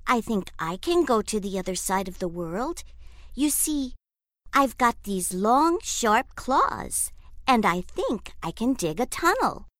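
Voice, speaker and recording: A woman's voice: on the high side, sing-song, takes it slowly, fairly bright tone, no echo, clean studio sound, exaggerated voice. A woman doing a small timid possum. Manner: timid, stammering. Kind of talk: storytelling.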